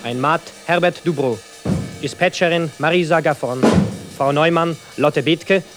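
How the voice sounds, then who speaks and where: A man formally announcing a radio play and its cast in a measured, precise delivery. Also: fairly high pitch. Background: loud music with drums.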